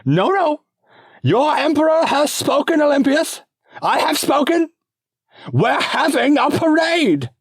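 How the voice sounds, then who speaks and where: A man voicing a giddy, childish Roman emperor, shrill and gleeful. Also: very animated, takes it slowly, no echo, heavily acted. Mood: insistent.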